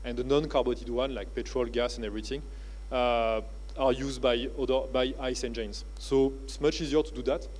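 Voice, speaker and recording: A man with a French accent speaks from a convention stage, delivering a keynote. His voice sits at an ordinary middle pitch. He pauses often and fills gaps with "uh" and "you know, like", keeping an unpolished, conversational pace. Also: steady tone, darkish tone. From France. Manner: halting, with many "uh" and "you know, like" fillers. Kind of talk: conference keynote talk.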